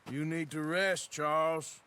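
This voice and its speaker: An actor playing a dry, world-weary American outlaw gunman in a Western game, with a folksy, deadpan drawl. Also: fairly high pitch, unhurried, smooth. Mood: grumbling.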